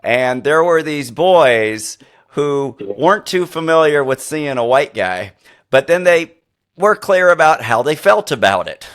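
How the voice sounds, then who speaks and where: A middle-aged man hosting a podcast interview, a former teacher who tells rambling, animated stories full of restarts. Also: fairly high pitch, a little slow. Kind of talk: conversational interview.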